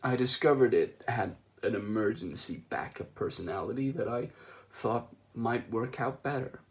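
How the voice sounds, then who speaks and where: A man's voice: mid-range voice, moderate pace, close to an everyday voice. A man voicing a brash, careless male starship captain who talks offhandedly and dismissively. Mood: offhand.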